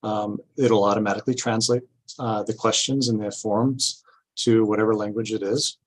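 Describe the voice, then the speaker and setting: A man giving an informational presentation at a public meeting, explaining things step by step in a halting way. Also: lowish voice, fairly even intonation, rough recording. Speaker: city staff presenter at a grant orientation.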